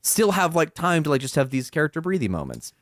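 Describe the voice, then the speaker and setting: A man in casual podcast discussion, speaking haltingly in a fairly high register. His pitch moves around a lot, and the sound is close and dry. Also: huge pitch swings, no echo. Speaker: podcast co-host.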